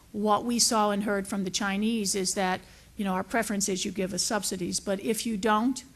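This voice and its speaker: Woman giving explanatory testimony. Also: mid-range voice. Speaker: witness testifying to a legislative committee. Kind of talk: explanatory testimony, semi-formal.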